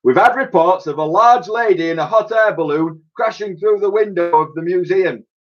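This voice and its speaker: A man voicing a stern police chief in a children's storybook, loud, commanding and sceptical. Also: high-pitched, moderate pace, voice pushed up. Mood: stern shouting. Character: stern male police chief shouting orders. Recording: dull lo-fi home recording.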